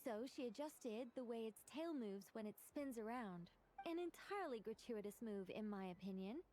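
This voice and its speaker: High-voiced, prim, talkative mage played by a woman. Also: animated, moderate pace, warm tone, lightly acted. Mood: indignant, rambling. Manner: wordy, dry, fussy. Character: prim, opinionated, talkative young female mage. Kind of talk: video game voice acting.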